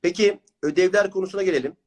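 A man's voice: high voice, very fast, a little mellow. A man working as a reading coach and host, interviewing clients and explaining his course in an encouraging manner. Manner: encouraging, praising.

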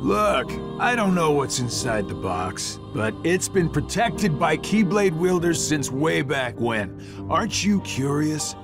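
An actor playing a sly, cocky middle-aged villain in a video game, with a sarcastic, evasive drawl. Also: male voice, a little high, very animated, slow, over music, full character voice. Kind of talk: cinematic video game voice acting.

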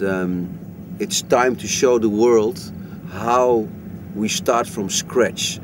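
A man's voice: on the low side, background noise. A middle-aged man who grew up in Africa, leading an expedition and speaking in plain, slightly broken English. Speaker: expedition leader. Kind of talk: documentary interview and field talk.

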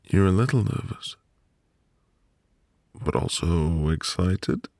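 Guy playing a sweet soothing husband, asmr roleplay, slow breathy voice, really close and dry. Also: expressive, darkish tone, no room sound, exaggerated voice. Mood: gentle, admiring. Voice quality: breathy, gravelly low voice. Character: sweet, tender, reassuring newlywed husband. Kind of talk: ASMR boyfriend roleplay, intimate soft delivery.

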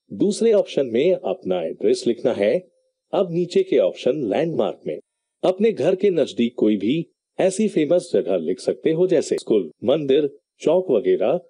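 A man's voice: mid-range voice, dark tone, husky, dry recording. A man hosting a tutorial channel, walking viewers through each click step by step in a screen-recorded voice-over. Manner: instructive, explains each click.

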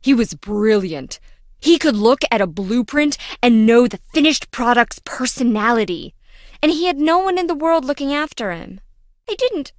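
An actress playing a quirky, excitable young lab engineer in a video game, chatty, blunt and wry. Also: female voice, a little high, moderate pace, no room sound, studio quality, natural voice. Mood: defensive, upset. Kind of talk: video game character voice acting.

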